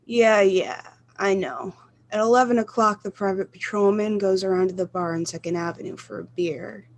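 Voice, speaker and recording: A cold-blooded male killer for hire in a suspense radio play voiced by a woman. The voice sits at a middling female pitch, with a businesslike, reassuring way of laying out grim work. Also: steady tone, normal speed, a little hiss or hum, natural voice.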